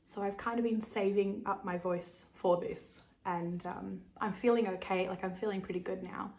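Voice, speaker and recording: A young woman with an Australian accent, hosting a knitting video podcast in a casual, bubbly chat, her voice a little croaky from laryngitis. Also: mid-range voice, fairly even intonation, moderate pace, a little mellow. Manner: chatty, bubbly, many fillers and giggles.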